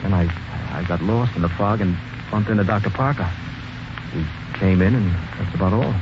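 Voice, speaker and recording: Man playing a flustered murder suspect, lowish voice. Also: normal speed, noisy background, close to an everyday voice. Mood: nervous, defensive. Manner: nervous, stammering, agitated. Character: flustered, defensive former mine employee under suspicion. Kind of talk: 1940s radio mystery acting. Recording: dull 1940s radio recording with music.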